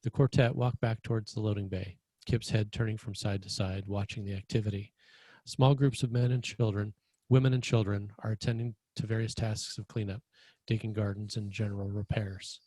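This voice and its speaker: A man's voice: on the low side, steady tone, brisk, mellow, dry recording. A man reading screenplay stage directions as scripted narration.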